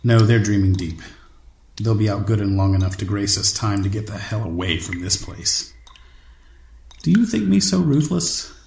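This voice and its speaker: An actor playing an earnest, resolute man of action and diplomacy in an audio drama, measured and dryly humorous. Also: on the low side, lively intonation, vocal fry, darkish tone. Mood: dry, determined. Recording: echoey space with faint noise.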